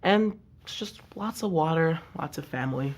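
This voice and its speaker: A sixteen-year-old student from Nigeria, chatting casually in a documentary interview. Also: male voice, fairly high pitch, animated, normal speed. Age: teens. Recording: music underneath.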